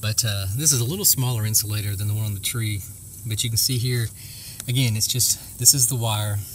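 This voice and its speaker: A man giving a casual, plainspoken, folksy how-to explanation, recorded outdoors on a handheld camera. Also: fairly low pitch. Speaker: homesteader giving a how-to demonstration. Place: outdoors in a rural yard. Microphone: handheld camera or phone mic. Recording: outdoor ambient noise with insect chirping.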